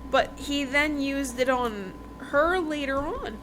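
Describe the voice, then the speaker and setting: A woman with a somewhat high voice hosts a casual chat show. She tells things in a loose, rambling, conversational way. Noise is clearly audible behind her. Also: lively intonation, takes it slowly. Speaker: podcast host reviewing romance books. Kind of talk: casual book recap chat. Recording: noticeable background noise.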